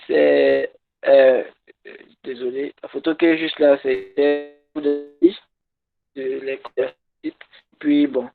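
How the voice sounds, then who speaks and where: A man whose first language is English, giving a workshop talk in halting French over a video call and pausing to search for words. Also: medium pitch, level tone, creaky, music in the background. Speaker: conference speaker. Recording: tinny phone-like call audio.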